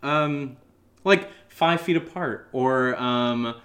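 A man co-hosting a podcast, answering questions in a casual, jokey, rambling and halting way. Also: medium pitch, a bit bright. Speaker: co-host of an audio drama podcast answering listener questions. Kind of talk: casual unscripted Q&A chat.

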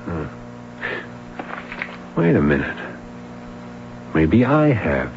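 A man's voice: deep voice, sing-song, extremely slow, vocal fry, exaggerated voice. A man in his thirties playing a dogged young police detective in a 1970s radio drama. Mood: puzzled, probing. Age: 30s. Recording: muffled, noisy old radio broadcast.

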